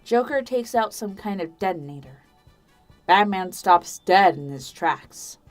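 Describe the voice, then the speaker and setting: A woman's voice: medium pitch, expressive, unhurried. A woman reading scripted third-person narration for an audio drama. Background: background music.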